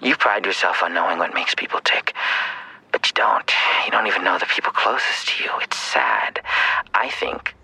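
A man playing a menacing, taunting villain in an audio drama, speaking slowly and deliberately in a breathy, gravelly half-whisper. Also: low voice, lively intonation, pushed and bright, full character voice, low-quality recording. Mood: cold contempt.

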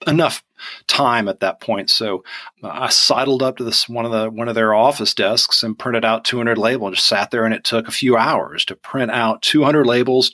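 A man in his forties with a Southern accent, telling stories in a relaxed interview and acting out quoted dialogue. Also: mid-range voice, no room sound, studio quality. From Georgia, US. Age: 40s. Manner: animated storyteller, acts out quoted dialogue. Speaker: guest, online onion seller. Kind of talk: casual interview chat.

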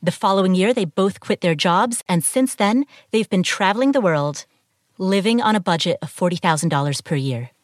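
A woman's voice: medium pitch, lively intonation, moderate pace, no room sound. American woman hosting a podcast, dry close sound. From Ohio, United States. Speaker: podcast host, interviewer. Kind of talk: scripted podcast intro and interview.

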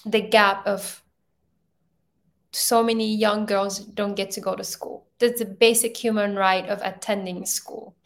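Halting, gravelly woman on a video call. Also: medium pitch. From Ethiopia. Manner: thoughtful, halting, many restarts and ums. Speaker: filmmaker guest.

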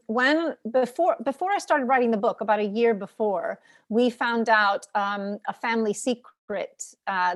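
A woman in her thirties from New York, raised speaking Ukrainian first, answers interview questions about her heritage. She talks in a slightly high, easy voice full of fillers and restarts. The sound is dry and close, with no room echo. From New York, USA. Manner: chatty, warm storytelling with fillers. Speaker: author guest.

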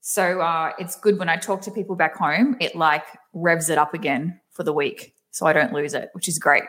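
A woman's voice: low voice, steady tone, moderate pace, a bit bright. Chatty woman with a thick Australian accent. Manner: giggly, chatty. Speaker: guest. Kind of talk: casual chat.

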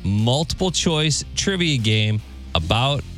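A man's voice: mid-range voice, expressive, slow pace, a bit bright. A man hosting a radio show, reading out trivia questions and bantering as quizmaster. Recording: music bed behind the voice. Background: background music.

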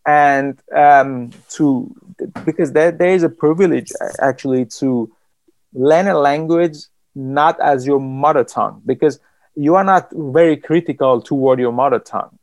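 A man from Iran, a writer, speaking in an interview in a thoughtful, halting way with many restarts and fillers. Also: fairly high pitch, dry recording. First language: Persian.

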